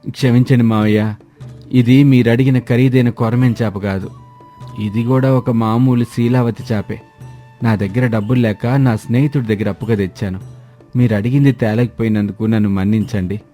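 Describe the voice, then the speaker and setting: A humble young village husband, acted by a man, deferential and earnest. His storybook voice is soft-toned and mellow, with music behind. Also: mid-range voice, fairly even intonation, normal speed, lightly acted. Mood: fearful, apologetic.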